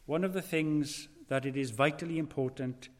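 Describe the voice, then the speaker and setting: A man preaching a sermon, slow and weighty, his voice dropping low and quiet for emphasis. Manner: slow, weighty preaching with dramatic drops in volume. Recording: long hall reverb.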